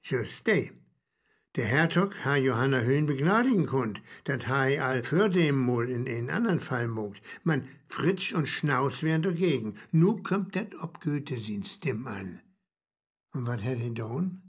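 An actor playing a wry, knowing storyteller in radio play banter, husky, with a Low German accent and a dry, explanatory manner. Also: male voice, expressive, a little slow. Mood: dry, grave.

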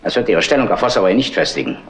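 Haughty film villain lord: lowish, rapid, curt orders, noisy 1960s soundtrack. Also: male voice, animated. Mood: cold contempt. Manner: curt, imperious. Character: haughty, mean aristocratic lord of the manor. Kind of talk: 1960s German crime film acting.

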